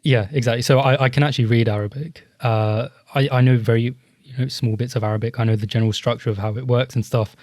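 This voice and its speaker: A man's voice: mid-range voice, fairly even intonation, quick pace, a little mellow, no room sound, clean studio sound. Hesitant young man, reflective. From Britain. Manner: hesitant, reflective, hedges with "I guess". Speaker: podcast co-host. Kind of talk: casual podcast discussion.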